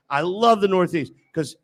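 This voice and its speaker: Higher-pitched man hosting live sports talk. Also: animated, takes it slowly. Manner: jokey, teasing. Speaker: sports radio host. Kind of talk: live sports talk banter and sponsor reads. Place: live broadcast from a venue.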